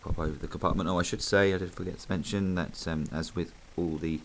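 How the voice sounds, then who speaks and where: A man with a croaky voice from hay fever, chatting casually and rambling a little as he reviews a toy. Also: sits low, fairly even intonation, fast, slight background noise. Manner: chatty, rambling, full of ums.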